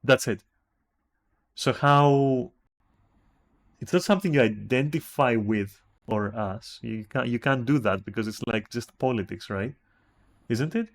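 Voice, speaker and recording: A man's voice: mid-range voice, measured pace, pauses often. A man from Greece with a Greek accent, streaming and reacting to a text, thinking aloud in a puzzled way with many restarts.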